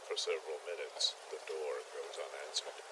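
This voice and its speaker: A man narrating a scripted mock-horror tale as its storyteller, with theatrical, ominous, deliberate phrasing. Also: extremely low pitch, fairly even intonation, heavy background noise.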